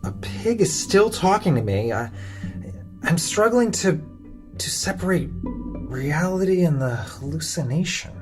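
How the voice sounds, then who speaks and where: A man's voice: a little high, animated, measured pace. An actor playing a jittery, bewildered man in an experiment, stammering and hesitant in audio drama style. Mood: disoriented, wary. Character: bewildered, jittery male test subject in an experiment. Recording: music bed under binaural drama voice.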